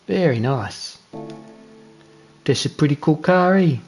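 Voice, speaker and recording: A man's voice: a little high, expressive, slow, a little mellow, faint music behind. Laid-back New Zealand man streaming. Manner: laid-back, chatty, self-deprecating. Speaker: sim racing streamer. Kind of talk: casual gameplay commentary.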